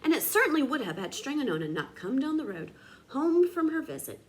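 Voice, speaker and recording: A woman reading a children's storybook aloud in an expressive, dramatic teacher's manner. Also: high-pitched, brisk.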